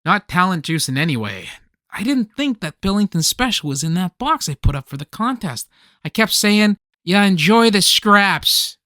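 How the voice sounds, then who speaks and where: A man performs an earnest, fussy collector in a comedic radio play. His voice is bright and forward, recorded close and dry, and he pushes his points dramatically with small stammers. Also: high-pitched, big pitch swings, moderate pace, no room sound, heavily acted. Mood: rueful. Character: earnest, fussy man obsessed with old holiday tapes.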